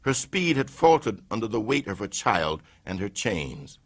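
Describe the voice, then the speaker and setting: An older man giving a solemn dramatic reading of a historical speech, rising to impassioned peaks and sinking to hushed tones. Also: fairly low pitch, big pitch swings, moderate pace.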